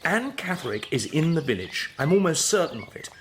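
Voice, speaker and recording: A man playing an earnest, courteous young Victorian gentleman with an English accent, in a period radio drama. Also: fairly high pitch, very animated, fairly quick, a little hiss or hum. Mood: earnest, urgent. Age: young adult. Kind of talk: period drama acting with measured first-person narration.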